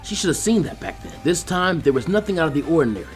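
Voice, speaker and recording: Animated high-voiced man narrating a story. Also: quick pace, soft background music. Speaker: fan fiction reader.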